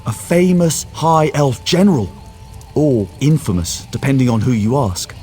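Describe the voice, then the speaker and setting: Man playing an anxious, lively scholar mage. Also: medium pitch, moderate pace, soft background music, lightly acted. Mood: thoughtful, explanatory. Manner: formal, precise, articulate. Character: scholarly male mage and former priest, cautious, anxious. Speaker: quest-giving character. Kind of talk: video game dialogue.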